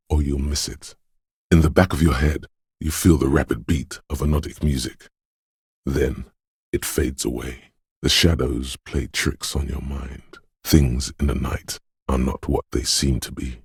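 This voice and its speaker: An extremely deep, heavily husky inner voice for a video game, brooding and dreamlike. It speaks slowly and solemnly, like intuition whispering ominous poetry to its owner. The recording is close and completely dry. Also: male voice, dry recording, heavily acted. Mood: melancholy, dreamlike. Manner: slow, solemn, ominous, poetic. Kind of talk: scripted video game narration, second person.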